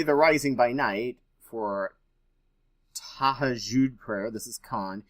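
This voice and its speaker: A man's voice: medium pitch, fairly bright tone. A man reading aloud and adding his own informal commentary as host, with a skeptical, dryly sarcastic manner.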